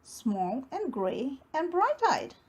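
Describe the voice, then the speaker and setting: Female storybook reader: high-pitched, very lively intonation, unhurried, dramatic emphasis for young listeners. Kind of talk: expressive children's read-aloud narration.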